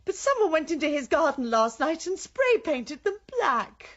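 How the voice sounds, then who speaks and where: An actress playing an earnest, gentle village woman in a radio drama, flustered and hesitant, with an English accent. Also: high voice, sing-song, moderate pace, dull audio. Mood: distressed.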